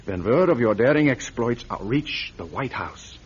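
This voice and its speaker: An actor playing a scheming German intelligence major, smooth and coaxing, in a 1940s radio drama. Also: male voice, mid-range voice, animated, normal speed. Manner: smooth, coaxing, quietly fanatical. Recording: dull lo-fi old radio recording.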